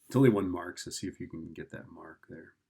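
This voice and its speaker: A man's voice: low-pitched, brisk, gravelly, mellow, husky. A man giving an informal physics lesson, thinking aloud as he works through a problem and often checking in with the listener. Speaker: physics teacher.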